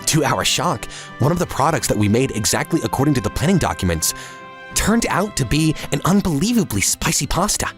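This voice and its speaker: A man's voice: fairly high pitch, very animated, a little fast, faint music behind. A man playing an earnest, polite young businessman who narrates his own story in a comic drama dub. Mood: narrating, concerned. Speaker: voice actor narrating and playing the lead.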